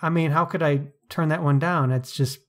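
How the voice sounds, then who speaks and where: A man's voice: on the high side, fairly even intonation, normal speed. A man co-hosting a podcast, discussing books casually in an articulate, wry way. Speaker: podcast co-host.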